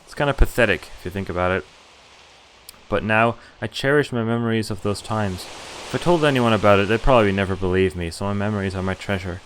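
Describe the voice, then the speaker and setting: A man's voice: on the low side, fast talker, fairly bright tone, a little hiss or hum, poor audio. A man streaming a visual novel, reading the story aloud in first person with casual asides. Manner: reads story text aloud with casual commentary. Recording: game music behind the voice. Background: game music.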